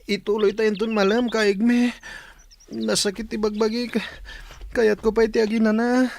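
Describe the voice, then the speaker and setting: A man's voice: sits high, little pitch movement, moderate pace, creaky. A man with an Ilocano accent acting an earnest young man bent on justice for his father in a radio drama, with noise behind him. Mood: straining, weary.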